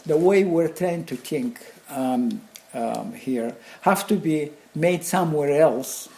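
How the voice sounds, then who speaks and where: Older Bulgarian-accented man, mellow higher voice. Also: creaky. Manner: halting, rambling, animated anecdotes with chuckles. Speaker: interview guest, craftsman and designer. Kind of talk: casual conversational storytelling.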